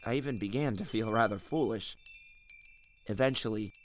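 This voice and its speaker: A man's voice: lowish voice, moderate pace, a little hiss or hum. A man reading a scripted first-person horror story in a suspenseful, theatrical way. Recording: narration over clearly audible background music. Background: background music.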